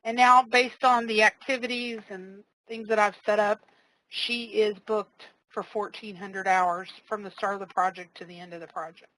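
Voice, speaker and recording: A woman in a mid register talks through a live software demo at an easy pace. She keeps it casual and explains each step, with the odd filler as she clicks along. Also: mid-range voice, fairly even intonation, normal speed, low-quality recording. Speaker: software trainer.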